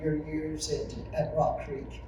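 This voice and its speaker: A woman conducts an interview with gentle prompts. Her voice sits at a middle pitch and sounds distant, in a strongly reverberant room with noticeable background noise. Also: flat delivery, takes it slowly. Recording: very echoey, distant mic, noisy.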